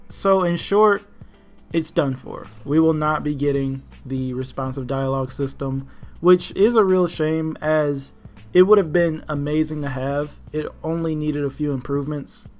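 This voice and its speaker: A man's voice: on the high side, unhurried. A man giving casual, opinionated commentary as the host of a gaming news video. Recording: music behind the voice. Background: background music.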